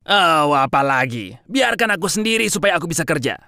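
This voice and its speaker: A man's voice: on the high side, big pitch swings, brisk, vocal fry, bright, forceful tone, no room sound, studio quality, heavily acted. A man playing a stingy, grumpy old businessman in a cartoon story, with a curt, scolding way of talking. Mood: irritated, dismissive. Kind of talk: dramatised children's story dialogue.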